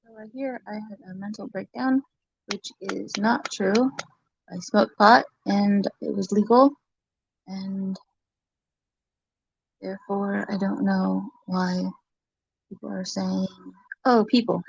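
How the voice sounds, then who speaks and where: Woman, husky and unhurried, casually defending herself, muffled lo-fi sound. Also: mid-range voice, fairly even intonation, no room sound.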